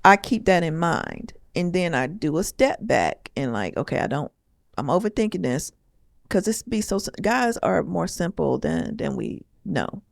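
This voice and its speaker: A woman co-hosting a casual podcast chat, reflective and quick to laugh, often correcting herself mid-sentence. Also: fairly low pitch, sing-song, vocal fry, dry recording, clean studio sound. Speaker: podcast co-host. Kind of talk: casual chat about marriage.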